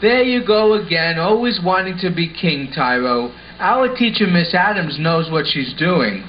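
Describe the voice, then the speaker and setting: A man's voice: high-pitched, lively intonation, measured pace. A man playing an earnest, sensible schoolboy in a scripted puppet show, explaining things instructively. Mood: patient, explanatory. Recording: dull lo-fi sound with faint noise.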